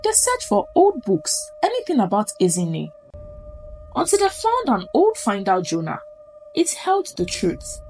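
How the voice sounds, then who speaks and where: A woman's voice: mid-range voice, huge pitch swings, unhurried, darkish tone, low-quality recording. A Nigerian woman narrating a spooky folktale in a suspenseful, theatrical way. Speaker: storyteller. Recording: music under the voice. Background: background music.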